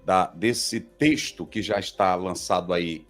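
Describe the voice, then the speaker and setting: Earnest pastor hosting a live talk. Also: male voice, low-pitched, takes it slowly, a bit bright, soft background music. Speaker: pastor and host.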